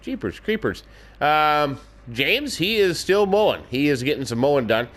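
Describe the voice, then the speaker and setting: A man's voice: a little high, very animated, moderate pace, fairly bright tone. A man casually narrating his farming game as a let's play host, folksy and chatty with mild exclamations, a little stuffed up in the nose. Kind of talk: casual gameplay commentary.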